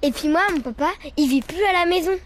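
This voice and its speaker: A woman's voice: high-pitched, fast talker, slight background noise, close to an everyday voice. A woman voicing a curious, earnest little girl in a cartoon, childlike and naive. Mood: puzzled.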